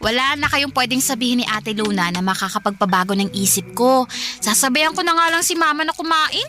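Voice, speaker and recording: Very high-pitched cheeky Filipino teenage daughter. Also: female voice, expressive, fairly quick, bright, forceful tone. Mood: teasing, whiny. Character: breezy, reluctant eighteen-year-old younger daughter, cheeky. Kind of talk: Tagalog radio drama acting.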